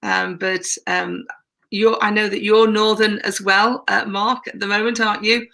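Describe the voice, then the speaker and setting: A woman's voice: mid-range voice, flat delivery, normal speed, creaky, sharp tone. A woman co-hosting a casual faith conversation, talking at length with many fillers, from a converted church. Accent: non-Yorkshire. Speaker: ministry leader and co-host. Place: converted church tower room.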